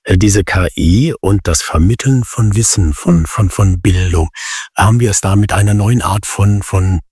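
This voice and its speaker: A man's voice: low-pitched, moderate pace, darkish tone, slightly rough, no room sound, clean studio sound. A man working as a journalist, hosting a radio interview and asking questions in a formal, courteous way.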